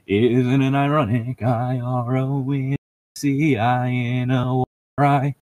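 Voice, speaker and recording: A man's voice: medium pitch, flat delivery, takes it slowly, a little mellow, no room sound. A man giving casual, mocking comedic commentary among co-hosts, swearing freely. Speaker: co-host.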